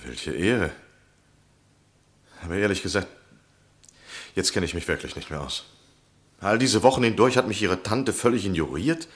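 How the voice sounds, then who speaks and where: Gallant journalist in an old radio play, really low voice, dry sound, formal n polite but keeps pressing. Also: male voice, animated, normal speed, long pauses, husky, no echo. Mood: polite, puzzled. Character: courtly, persuasive male literary journalist, eagerly probing. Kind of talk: 1960 German radio play acting.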